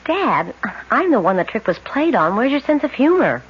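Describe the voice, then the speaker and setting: A woman's voice: fairly high pitch, sing-song, normal speed, breathy edge, full character voice. An actress playing a troubled, devoted young American woman in a 1970s radio drama, speaking in an earnest, emotional, hesitant way. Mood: puzzled, defensive. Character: troubled, devoted young daughter, soft-hearted twin sister. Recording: muffled old radio recording with hiss.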